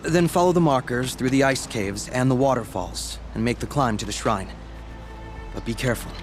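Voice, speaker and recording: An actor playing an earnest young apprentice shaman in a video game, humble and measured as he speaks. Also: medium pitch, close to an everyday voice. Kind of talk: video game cutscene dialogue. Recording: game audio with loud music.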